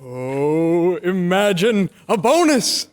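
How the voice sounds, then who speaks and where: A man playing a flustered, excitable department store manager in old-time radio drama style, stammering and exclaiming. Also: high voice, slow, clean tone, exaggerated voice. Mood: delighted, flustered.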